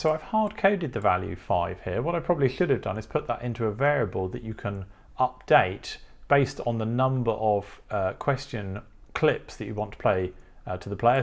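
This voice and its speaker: A man's voice: medium pitch, gravelly. Man methodically explaining a technical tutorial. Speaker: host of an electronics tutorial channel. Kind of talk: informal step-by-step tutorial narration.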